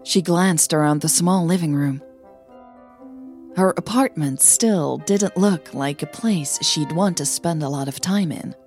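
A woman narrating a scripted audio story as a podcast host, with measured, deliberate phrasing. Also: sits low, darkish tone, soft background music.

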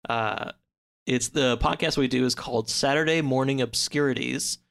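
A man speaking as a podcast guest in a casual, somewhat halting conversational way. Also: medium pitch, creaky, fairly bright tone. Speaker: podcast guest and co-host of another show.

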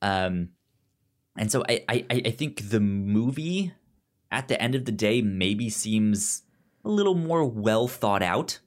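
A man's voice: fairly low pitch, lively intonation, takes it slowly. A man speaking as a podcast co-host in casual discussion, often stuttering and repeating sounds and words as he goes. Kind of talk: casual discussion of comics and film.

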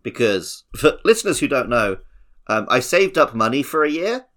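A man's voice: mid-range voice, big pitch swings, moderate pace, fairly bright tone. A man with an English accent co-hosting a podcast, telling stories in a casual, conversational way. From English countryside. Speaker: podcast co-host.

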